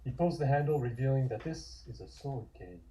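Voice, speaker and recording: A man's voice: medium pitch, expressive, brisk, dark tone. A man narrating stage directions from a script at an online table read. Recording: echoey room.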